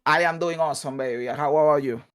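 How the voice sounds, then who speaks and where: A man in his mid-thirties joins over a video call as a guest. He talks in an enthusiastic, friendly way and keeps calling people dude and brother. His voice sits notably high for a man. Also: quick pace, a bit bright, clear, resonant tone. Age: 30s.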